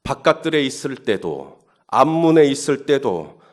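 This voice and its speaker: A male pastor gives a sermon in a mid-pitched voice. He moves from easy, conversational explanation to rising, emphatic questions. His tone stays personal rather than formal. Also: big pitch swings, measured pace. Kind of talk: sermon at early-morning Holy Week service.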